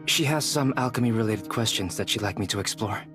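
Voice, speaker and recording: Casting: male actor as courteous calm intellectual for a game, somewhat deep voice, measured speech. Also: music in the background, close to an everyday voice. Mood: composed. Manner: measured, polite. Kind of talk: video game dialogue acting.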